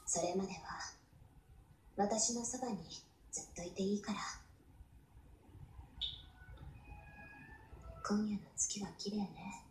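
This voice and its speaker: Casting: actress as devoted young anime game heroine, soft, caring, breathy, comforting her commander; roomy screen playback with faint noise. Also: mid-range voice, moderate pace, lightly acted. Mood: soothing, intimate. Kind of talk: Japanese anime game voice lines.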